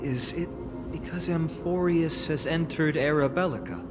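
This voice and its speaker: A man's voice: a little high, moderate pace, lightly acted. A man playing a polite, inquisitive traveling companion in a video game, asking questions courteously. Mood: curious, polite. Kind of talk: video game story dialogue. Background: background music.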